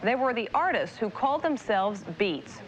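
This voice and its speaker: A woman's voice: on the high side, very animated, moderate pace, a little hiss or hum. A woman reading scripted news feature narration as a television reporter. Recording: muffled archival broadcast, music behind.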